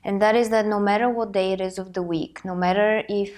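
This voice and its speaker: A woman's voice: mid-range voice, steady tone, fairly quick, darkish tone. A woman in her thirties from Bulgaria hosting a personal motivational talk, speaking earnestly and reflectively while she has a cold. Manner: earnest, reflective, thinking aloud. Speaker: host.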